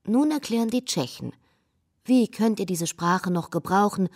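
A woman reading aloud the letters of a loving mother writing to her son far away, in a gentle, earnest tone. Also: medium pitch, lively intonation, moderate pace. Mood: grave.

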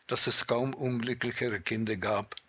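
An older man calmly recalling his childhood and his parents in a personal testimony. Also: medium pitch, moderate pace, husky.

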